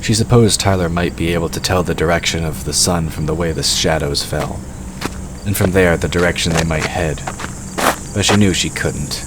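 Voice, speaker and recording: Male narrator, extremely low pitch, scripted storytelling, clear background noise. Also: normal speed.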